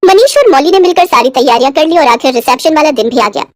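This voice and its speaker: A woman's voice: sits high, lively intonation, fast, a little hiss or hum. A woman narrating a scripted story as its storyteller. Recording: music behind the voice.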